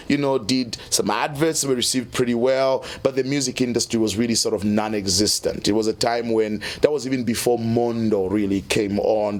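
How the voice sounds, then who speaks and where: Man, from Zambia, middle-aged, lowish voice, relaxed storyteller, keeps saying "you know". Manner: easygoing, peppers speech with "you know". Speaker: musician guest.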